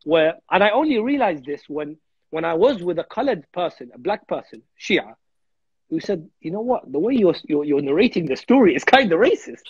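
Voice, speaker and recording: A man's voice: high-pitched, big pitch swings, a little fast, darkish tone, slightly rough, no echo, lo-fi sound. A man in his thirties from Iraq, a guest speaker in a religious discussion on a video call, earnest and repeating words as he thinks. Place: home room on a video call.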